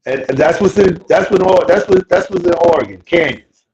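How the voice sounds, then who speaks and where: Gravelly man arguing on an echoey call. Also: high voice, brisk, a little mellow, lo-fi sound. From North Carolina. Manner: argumentative, insistent, repeats himself. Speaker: guest. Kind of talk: casual live stream chat.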